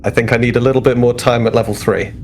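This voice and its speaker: A man's voice: medium pitch, steady tone, quick pace, lightly acted. Man playing a cocky starship crewman, noisy recording. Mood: boastful. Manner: boastful, informal.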